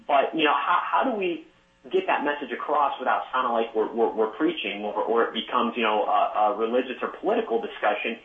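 A man hosting an interview, talking in halting bursts, repeating words and filling his pauses with "you know.". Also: mid-range voice, expressive. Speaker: podcast host. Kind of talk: conversational interview. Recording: echoey, phone-like line.

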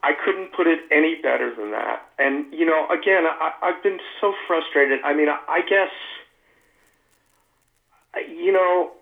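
A man as a guest author on a radio show, speaking earnestly over the phone with halting restarts. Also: a little high, vocal fry. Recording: tinny phone line.

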